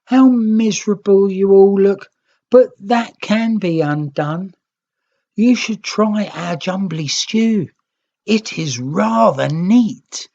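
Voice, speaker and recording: A woman's voice: on the low side, dark tone, highs cut off, pitched above the performer's own voice. A woman voicing a cheerful, kindly young green nix creature in a children's storybook, eager to share.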